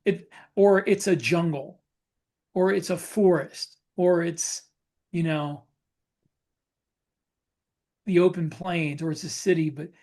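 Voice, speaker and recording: A man chatting casually as a podcast co-host, thinking aloud in a halting way with repeated phrases. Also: high-pitched, a little mellow. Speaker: podcast co-host and science fiction author.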